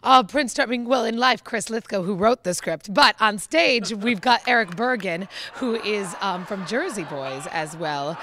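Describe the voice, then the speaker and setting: A woman's voice: medium pitch, a little slow, hardly any pauses, a bit bright. An American woman giving an enthusiastic, conversational interview as a theater producer, speaking emphatically and effusively. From United States.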